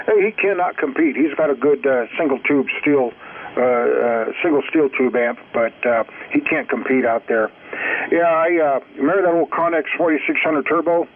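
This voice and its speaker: A man chats casually over a narrow, phone-like radio signal with faint noise behind him. His voice sits at a middling pitch, and he talks like an operator swapping notes on the air.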